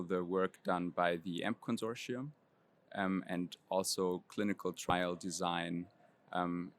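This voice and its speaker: Deep-voiced man, measured. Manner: measured, precise, with frequent "um". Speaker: immunology professor. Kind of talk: interview answers. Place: convention center floor.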